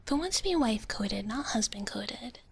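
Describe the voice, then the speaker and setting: A woman ASMR streamer chatting casually in a soft, breathy whisper, close to the microphone, in a playful, rambling, intimate way. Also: a little high, expressive, normal speed. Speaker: ASMR livestreamer. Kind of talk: ASMR, casual chat with viewers.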